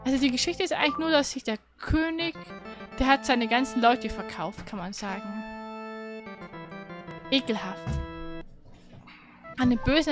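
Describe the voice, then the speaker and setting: A woman co-hosting a gaming livestream in casual talk, chatty and informal. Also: high-pitched. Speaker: gaming stream co-host. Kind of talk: casual livestream chat and game recap. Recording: music playing behind the voice. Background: game music.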